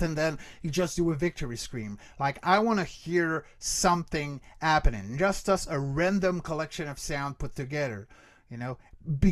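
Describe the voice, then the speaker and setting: Higher-pitched man, explaining casually, dry sound. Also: no echo. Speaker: guest expert, creature voice actor and workshop teacher. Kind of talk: casual explanatory interview answers.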